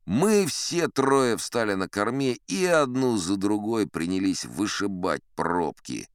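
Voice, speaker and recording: A man's voice: on the low side, lively intonation, takes it slowly, smooth, dry recording. A boastful old sea captain recounts tall tales for an audiobook. His delivery swings expressively, with a self-satisfied, conversational air. The voice is resonant and recorded very dry and close. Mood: animated boasting. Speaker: audiobook narrator in character. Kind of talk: theatrical first-person storybook narration.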